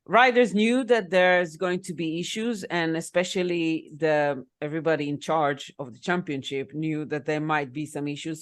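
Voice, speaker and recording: A woman co-hosting a casual podcast chat in her second language, explaining at length with frequent fillers. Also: on the low side. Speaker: podcast co-host.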